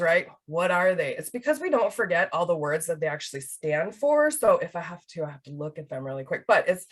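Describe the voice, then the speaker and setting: A woman in her thirties from Hawaii, presenting a webinar in a casual, chatty way with many fillers. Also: lowish voice. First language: Hawaiian Pidgin. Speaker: research project manager.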